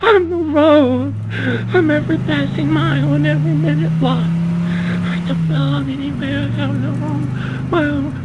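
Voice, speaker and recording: A man's voice: very high voice, unhurried, lo-fi sound, very noisy. A man voicing a vain, needy young beauty queen in a theatrical comedic radio play, swinging from sweet to hysterical. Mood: despairing. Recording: music behind the voice.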